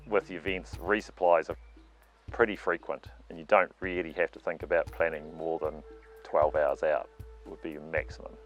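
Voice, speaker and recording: Deep-voiced New man explaining. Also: flat delivery, normal speed, highs cut off. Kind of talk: explanatory documentary interview. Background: faint music.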